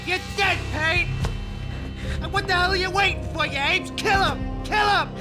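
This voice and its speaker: A man's voice: very high voice, moderate pace, music in the background. An actor playing a hot-tempered, panicky male mobster with a whiny, blustering manner. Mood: furious.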